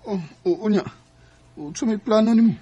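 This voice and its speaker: Husky-voiced Bisaya suitor. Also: male voice, sits high, normal speed, dark tone, dry recording, lo-fi sound. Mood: concerned. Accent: Bisaya Filipino. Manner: pleading, romantic. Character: ardent, earnest young male suitor, devoted and eager. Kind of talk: Bisaya radio drama acting.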